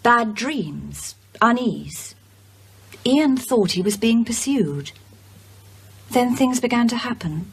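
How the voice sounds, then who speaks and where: A woman's voice: fairly high pitch, animated, takes it slowly, vocal fry, long pauses, husky, heavily acted, rough recording. A woman playing an anxious, solemn widow haunted by a curse in an audio horror drama. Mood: grave, uneasy.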